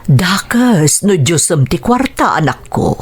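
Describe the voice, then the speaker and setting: A woman's voice: on the low side, very animated, slow pace, husky, exaggerated voice. A woman with a Filipino accent acting a worried, admonishing older mother in a radio drama.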